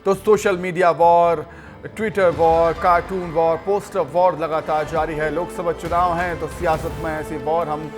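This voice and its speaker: A man's voice: a little high, fairly even intonation, unhurried, over music. A man anchoring live television news in an emphatic way, often repeating phrases for stress.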